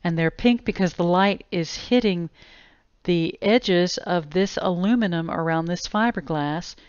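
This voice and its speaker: Slow, smooth-voiced woman narrating gravely. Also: on the low side, no echo. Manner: deliberate, grave. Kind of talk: scripted explanatory video narration. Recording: dull lo-fi close dry voice-over.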